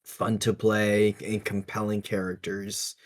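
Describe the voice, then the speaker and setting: Slow-talking man in casual discussion. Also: fairly low pitch, little pitch movement. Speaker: podcast co-host. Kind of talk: casual discussion of tabletop roleplaying.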